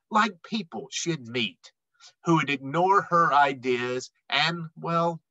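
Animated man reading a children's picture book. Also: fairly high pitch, dry recording, rough recording. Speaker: read-aloud storyteller. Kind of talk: expressive children's picture book read-aloud.

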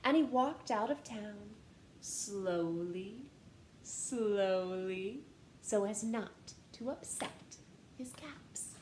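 A woman in her thirties reading a children's picture book aloud in a living room, with an animated, sing-song manner that speaks straight to young listeners. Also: mid-range voice, very slow, reverberant, slight background noise. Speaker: storytime reader. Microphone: camera in front at close range.

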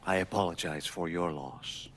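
Cold heroin dealer, low voice, formal, deliberate, quietly menacing, video game villain. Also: male voice, steady tone, slight background noise. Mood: polite, guarded. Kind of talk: dramatic video game acting.

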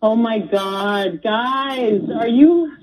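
A woman's voice: on the high side, drawn-out words, a little mellow. A woman confronting her partner in conversational speech over a phone call. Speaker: caller confronting her boyfriend on radio. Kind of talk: confrontational relationship talk. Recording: slightly dull phone-call sound.

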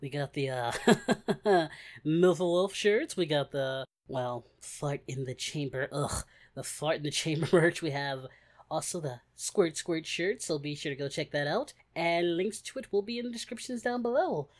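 A woman's voice: low voice, moderate pace, natural voice. A woman voicing a cheerful young male anime hero, giving exclamatory, slangy, mock-dramatic reaction commentary. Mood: chatty, amused. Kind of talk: playful reaction video commentary.

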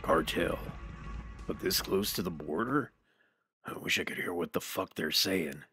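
A man's voice: low voice, a little slow, bright, forceful tone, faint music behind, voice pushed down. A man voicing a bitter, laconic ex-military sniper, terse and grumbling, in a motion comic. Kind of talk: motion comic character acting.

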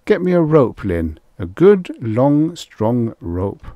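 A man's voice: mid-range voice, very animated, takes it slowly, dry recording, dull audio, voice pushed up. A man voicing an imperious big-game hunter in a science fiction story, commanding, amused and genially menacing. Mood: falsely genial.

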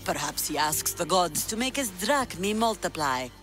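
An actor playing a sly, bawdy Greek onlooker in a video game. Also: male voice, high voice, lively intonation, normal speed, a bit bright, faint music behind. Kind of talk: video game cutscene acting.

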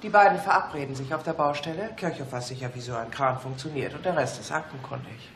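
A man's voice: fairly high pitch, fast, a bit bright, a little hiss or hum. A man acting in a German radio play as a shrewd, outspoken police colleague with a dry, matter-of-fact manner.

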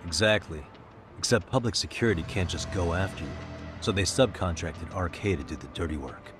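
An actor playing a cool, serious detective in a video game drama, probing and reasoning aloud. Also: male voice, sits low, fairly quick, music in the background, lightly acted. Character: cool, sharp-minded young male detective, probing and serious.

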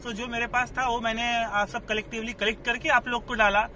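Young guy vlogging from his car, fairly high voice, lots of road noise, uh-filled chat. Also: little pitch movement, fairly quick, bright, forceful tone. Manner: chatty, mixes English phrases into his talk, hesitant fillers. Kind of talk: casual travel vlog chat. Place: inside a moving car, then outdoors at a fort. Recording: noisy road and car background.

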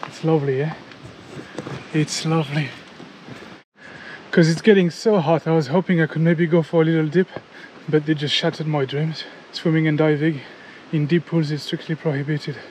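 A man in his thirties from France, vlogging casually outdoors on a jungle trail with a handheld action camera, chatty and excitable, sweaty and a little out of breath from climbing. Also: fairly high pitch, moderate pace, slight background noise. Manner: chatty and excitable, talks to viewers as guys, swears. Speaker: travel vlogger. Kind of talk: casual vlog commentary. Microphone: handheld action camera at arm's length.

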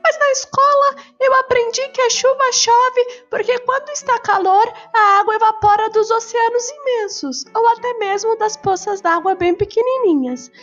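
A woman's voice: very high voice, moderate pace, hardly any pauses, fairly bright tone, highs cut off, faint music behind, voice pushed up. A woman voicing a young schoolboy, curious and earnest, in a children's bedtime story. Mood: earnest, explaining.

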